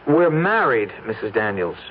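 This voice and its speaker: A man's voice: fairly low pitch, very animated, moderate pace, dull audio. A man playing an earnest, stubborn young husband in an old radio drama, pleading and insistent. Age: young adult. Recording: noisy, thin old radio recording.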